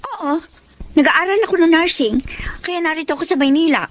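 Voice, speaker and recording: A woman's voice: extremely high pitch, fairly quick. A woman acting a blunt, sassy young nursing student in a Tagalog radio drama. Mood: matter-of-fact. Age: young adult. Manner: brash, indignant, matter-of-fact.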